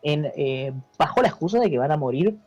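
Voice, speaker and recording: A man with a Buenos Aires accent talks as a guest, explaining in a casual, halting way. His voice is dark and mellow. The recording is dull and dry, with faint music behind it. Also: a little high, lively intonation, no echo. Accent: Argentine Spanish, Buenos Aires. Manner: halting, repeats words, seeks agreement with tags. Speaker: interview guest, history teacher. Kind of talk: casual explanatory interview talk.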